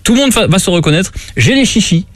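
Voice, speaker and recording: A man's voice: high-pitched, lively intonation, fast, no echo. A man hosting a comedy radio show in a studio, playful and teasing as he chats and addresses listeners directly. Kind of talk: lively comedy radio chat.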